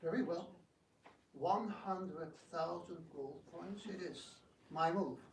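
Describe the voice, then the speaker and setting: A man's voice: a little high, slow pace. A man playing a proud, scheming gambler prince in a dramatic reading, theatrical and smug, with feigned politeness. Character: proud scheming prince, sly chess gambler, sore loser. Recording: roomy distant microphone, faint noise.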